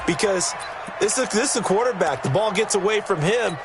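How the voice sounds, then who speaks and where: A man's voice: sits high, expressive, brisk, a loud crowd. A man playing a former player working as color commentator in a football video game. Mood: explanatory. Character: former defensive player turned football analyst. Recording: clear background stadium noise.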